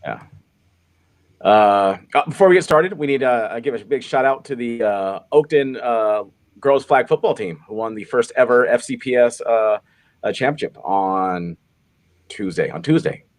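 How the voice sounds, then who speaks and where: A man's voice: medium pitch, expressive, normal speed. A man co-hosting a live football podcast, a coach who rambles, repeats himself and uses lots of fillers. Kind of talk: casual live podcast chat.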